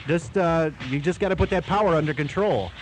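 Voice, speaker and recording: A man's voice: fairly high pitch, a little fast, a little hiss or hum. A man hosting a morning radio show in easy 1980s banter, playing the straight man.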